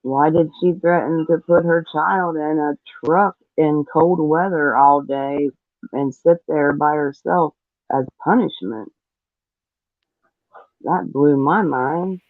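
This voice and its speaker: A woman giving halting, disapproving live commentary in a deliberate way. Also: sits low, dry recording, phone-quality sound.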